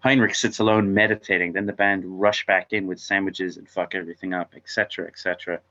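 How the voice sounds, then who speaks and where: A man's voice: deep voice, steady tone, fast talker, pushed and bright. A man reading stage directions as narrator at a table read of a script, on a dull microphone.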